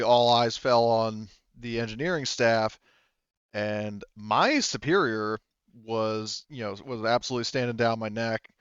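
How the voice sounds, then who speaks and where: Smooth-voiced man running a tabletop game, slightly dull mic. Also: medium pitch, fairly bright tone, no room sound. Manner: rambling, explanatory, often rephrasing. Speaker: game master. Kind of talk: improvised tabletop game narration.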